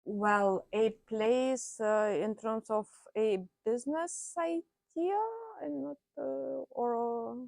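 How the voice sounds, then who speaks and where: A woman's voice: fairly high pitch, slow, vocal fry, a little mellow, no room sound. Woman, thoughtful panelist. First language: Russian. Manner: thoughtful, frequent "right?" tags and fillers. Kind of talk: panel discussion.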